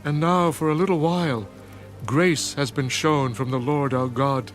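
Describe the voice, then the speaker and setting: An actor playing a penitent Hebrew priest at prayer in a dramatised scripture reading, pleading solemnly in measured phrases. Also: male voice, a little high, natural voice. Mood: sorrowful pleading. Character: penitent male Hebrew priest praying in anguish. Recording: music behind the voice.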